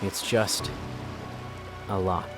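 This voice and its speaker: An actor playing a weary, brooding oil rig worker in a survival horror audio drama, in a subdued, melancholic way. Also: male voice, sits low, steady tone, drawn-out words, full character voice. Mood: subdued, troubled. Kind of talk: audio drama acting with first-person narration. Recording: music under the voice.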